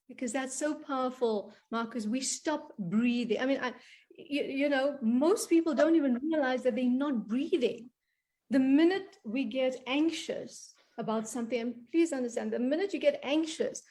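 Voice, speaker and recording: A South African woman, a guest coach on a podcast, talking in an animated, emphatic, motivational way. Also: high-pitched. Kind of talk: podcast interview.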